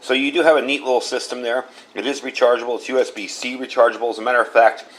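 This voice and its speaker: A man's voice: medium pitch, flat delivery, fast talker, fairly bright tone, low-quality recording. A man hosting a casual hands-on product review, talking in a folksy, plain-spoken way and repeating himself for emphasis. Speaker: product reviewer and channel host.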